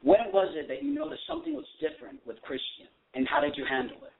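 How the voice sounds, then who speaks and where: A man's voice: sits high, huge pitch swings, quick pace, a loud crowd. A man hosting a call-in radio show, speaking English as a second language, praising his guest earnestly and reading prepared questions. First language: not English. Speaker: radio host. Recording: phone-like lo-fi sound.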